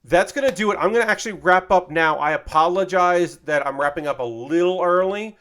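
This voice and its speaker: A man's voice: high-pitched, brisk, fairly bright tone. A man casually chatting on a livestream while building a model kit, loose and profane, tired with a slightly worn voice. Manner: loose and profane, lots of fillers.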